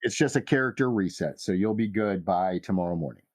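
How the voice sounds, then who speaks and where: A man's voice: deep voice, a little fast. A man speaking as game master of a tabletop role-playing show, in casual narration.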